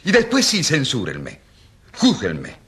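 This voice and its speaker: A man's voice: medium pitch, wildly swooping pitch, slow pace, a bit bright, full character voice. A man playing a defiant, impassioned rural priest on trial in a radio drama, rhetorical and challenging. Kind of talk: Spanish-language radio drama acting.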